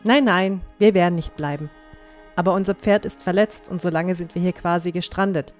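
Woman playing young dwarf huntress, lowish voice, confident, eager, fantasy audio drama, faint music. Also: a little fast, natural voice. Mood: confident, cheerful.

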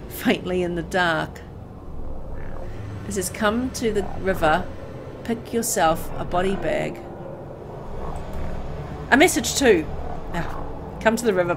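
A woman reading a horror visual novel aloud as dramatic, expressive narration, as host of a gameplay video. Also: lowish voice, noisy background.

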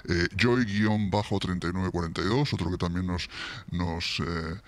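A man's voice: moderate pace, no room sound. A man in his forties with a European Spanish accent, chatting informally and jokily to camera through a voice modulator, close on the microphone. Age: 40s. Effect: voice modulator deepening the voice. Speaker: YouTube host, football fan channel. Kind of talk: casual chat to camera. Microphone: close vintage-style studio microphone.

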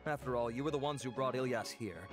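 A man voicing a composed, courteous investigating officer in a video game, measured and official. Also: mid-range voice, over music, close to an everyday voice. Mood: formal, briefing.

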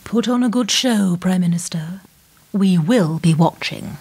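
A woman acting in a radio play as a cold, menacing power broker, formal and measured in her threats. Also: fairly low pitch, a little mellow, husky. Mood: quietly threatening.

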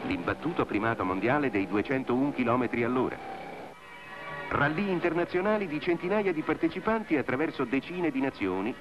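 A man's voice: mid-range voice, lively intonation, a little fast, over music. A man reading stately scripted narration for an old industrial documentary. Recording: dull vintage film soundtrack.

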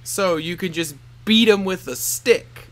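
A man's voice: high-pitched, measured pace. A man in his thirties co-hosting a comedy podcast, rambling and swearing freely in casual banter, laughing often. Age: 30s. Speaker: podcast co-host.

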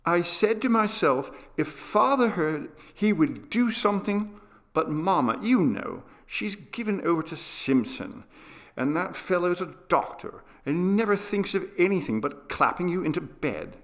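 A man's voice: sits high, animated, measured pace, fairly bright tone, voice pushed up, exaggerated voice. A man doing a tearful pleading young boy. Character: frightened sickly young boy pleading with father. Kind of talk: audiobook character voice.

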